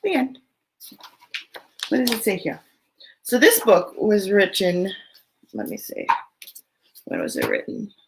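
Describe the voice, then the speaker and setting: A woman with a creaky, mid-pitched voice hosts story time for children. She talks informally and rambles a little, thinking aloud between bits of reading and chat. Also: a little hiss or hum, low-quality recording. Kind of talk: reading a picture book aloud, then casual chat to children.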